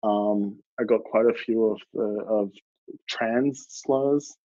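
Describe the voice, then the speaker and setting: A man's voice: fairly low pitch, takes it slowly, warm tone, no echo, muffled recording. A young Australian man talking about his life as a drag performer in an earnest, reflective way, with many fillers. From Melbourne, Australia. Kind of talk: casual podcast interview.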